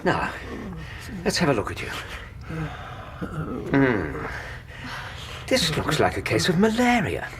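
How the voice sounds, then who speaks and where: A man's voice: medium pitch, huge pitch swings, takes it slowly, long pauses, very noisy. A man acting in a British radio drama as a kindly, practical doctor and detective's companion, plain-spoken and reassuring.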